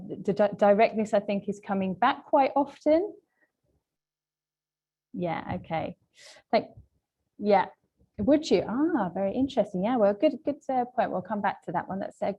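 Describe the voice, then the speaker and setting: A woman leads an online language lesson as a trainer. Her voice is mellow and relaxed, at a middling pitch for a woman. She explains things politely and in a measured way, like a prepared presentation. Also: husky. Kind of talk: webinar lesson, semi-scripted presentation.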